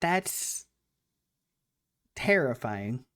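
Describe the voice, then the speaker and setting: A woman's voice: a bass voice, sing-song, very slow. A woman hosting a late-night live stream, chatting casually and playfully, laughing often, with a slightly nasal voice. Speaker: streamer host.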